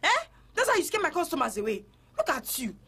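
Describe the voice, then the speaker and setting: A fast-talking, bossy shop owner. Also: female voice, sits high, sing-song, fairly bright tone, full character voice. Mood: pleading. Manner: apologetic to customer, scolding to staff. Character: fawning, bossy female boutique owner. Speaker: boutique owner.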